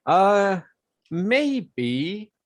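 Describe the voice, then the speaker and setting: A man's voice: high voice, very animated, extremely slow, no room sound. A man chatting casually as a podcast guest, joking and often correcting himself as he goes. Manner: hesitant, self-correcting, joking, often says "like". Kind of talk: casual chat.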